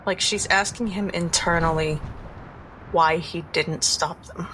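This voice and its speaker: Bright-toned woman playing a tabletop game. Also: fairly low pitch, fairly even intonation, unhurried, slight background noise, low-quality recording. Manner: thoughtful, talks through her ideas. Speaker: player. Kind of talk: describing her character's actions.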